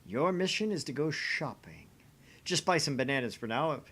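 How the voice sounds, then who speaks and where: A man's voice: on the high side, very animated, moderate pace, fairly bright tone, slightly rough, exaggerated voice. A man playing a pompous, theatrical young mad scientist who makes grandiose proclamations in an amateur visual novel dub. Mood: commanding, bombastic.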